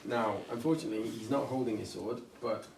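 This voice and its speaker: Game master, man, lively narration, echoey room, sits back from the mic. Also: medium pitch, a little fast, gravelly. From south Wales. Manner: lively, chuckling, descriptive. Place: game store. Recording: roomy, distant mic, faint noise.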